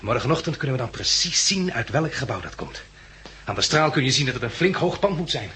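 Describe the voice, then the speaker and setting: Protective survivor guy, 60s dutch radio play, very lively intonation, bit of hiss. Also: medium pitch, a little fast. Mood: calm, practical. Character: Bill, calm protective male survivor of a catastrophe. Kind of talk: 1960s Dutch radio drama acting. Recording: faintly noisy 1960s radio recording.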